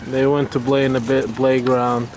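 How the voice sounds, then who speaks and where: A young man vlogging in a casual, relaxed way, filming himself at arm's length in a lakeside park. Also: mid-range voice, normal speed. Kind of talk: casual vlog chat. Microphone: handheld camera at arm's length. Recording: loud outdoor noise.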